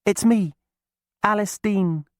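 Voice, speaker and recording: Earnest young storybook girl, done by a male reader, high and plain. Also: slow, no echo, clean studio sound.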